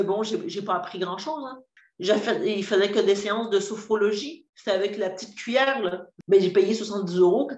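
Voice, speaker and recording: A woman's voice: medium pitch, a little mellow. A woman in her fifties with a Québécois accent, giving a candid, earnest testimony with many hesitations and restarts. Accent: Québécois French. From Quebec. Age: 50s. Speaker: program client giving a testimonial. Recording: roomy echo, dull lo-fi sound.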